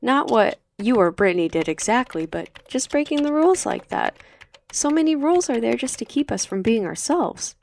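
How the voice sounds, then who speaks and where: A woman's voice: fairly high pitch, sing-song, normal speed, creaky, darkish tone, voice pushed up. A woman voicing a bold, sarcastic teenage schoolgirl with an emphatic, sassy delivery for an audiobook. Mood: eager. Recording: slightly noisy home recording.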